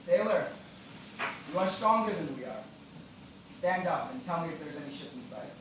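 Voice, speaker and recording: A man acting a shipwrecked gentleman in a stage play, resigned and bitter, speaking in a formal, measured, theatrical way. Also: on the high side, sing-song. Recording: distant, echoey, noisy live theatre recording.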